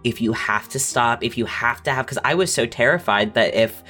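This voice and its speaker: A woman's voice: a bass voice, normal speed, a bit bright, soft background music. A woman speaking candidly and conversationally as a guest writer reflecting on craft. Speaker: mystery writer, podcast guest.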